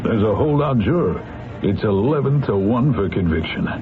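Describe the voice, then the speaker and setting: A man's voice: on the low side, expressive, normal speed, over music, natural voice. An actor playing an assured, matter-of-fact man, explaining things in an old radio drama. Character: knowing, matter-of-fact male trial observer. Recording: tinny old radio, crowd murmur.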